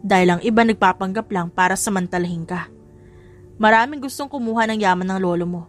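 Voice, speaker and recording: A woman's voice: mid-range voice, quick pace, pitched below the performer's own voice, lightly acted. A woman voicing a firm, cautioning personal assistant who instructs a young heir in an audiobook drama. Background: music.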